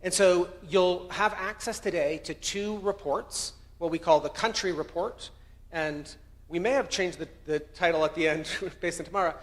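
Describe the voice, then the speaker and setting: A man's voice: high voice, moderate pace. A man with an American accent, raised in Colombia, giving an earnest, conversational conference presentation about research findings. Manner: conversational, earnest, self-correcting. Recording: long hall reverb.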